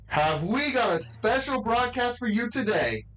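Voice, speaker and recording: A woman's voice: on the high side, expressive, a little slow, a bit bright, faint music behind. Swooping, bombastic TV showman. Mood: showy, excited. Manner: bombastic announcer patter, exaggerating. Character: loud, theatrical living TV set broadcasting as showman host. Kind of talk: audio drama acting, old TV announcer.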